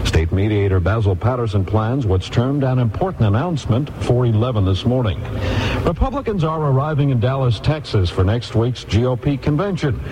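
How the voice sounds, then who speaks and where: A man's voice: fairly low pitch, warm tone. An American man reading a scripted news and weather bulletin as a radio anchor. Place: radio studio. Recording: noisy vintage FM radio broadcast.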